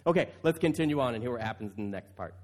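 A man's voice: medium pitch, animated, racing, a little mellow. A male pastor preaching in a conversational style, stretching key phrases for emphasis. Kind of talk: conversational sermon.

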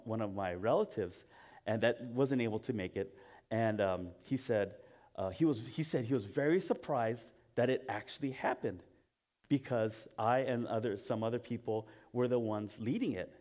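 A man's voice: fairly low pitch, a little mellow. A man from Hawaii, a pastor, gives a conversational sermon with personal stories. He laughs at himself often and restarts his sentences a lot. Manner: chatty and self-mocking, laughs often at himself; halting, frequent restarts and fillers.

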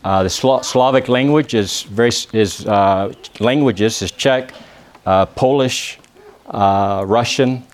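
A man from Texas with a Texan accent, preaching a church sermon and praying, often pausing with "uh" and asking the congregation for an "amen". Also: fairly low pitch. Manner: peppers phrases with "amen?" asking the congregation to answer; halting, with many "uh" pauses and restarts. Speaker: visiting preacher.

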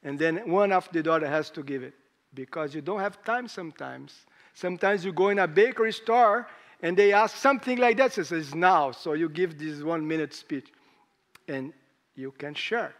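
A hoarse man preaching a sermon in English, speaking in halting phrases with rising emphasis. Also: on the high side, a bit bright. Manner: slow, halting delivery with pauses and rising emphasis; non-native English with grammar slips.

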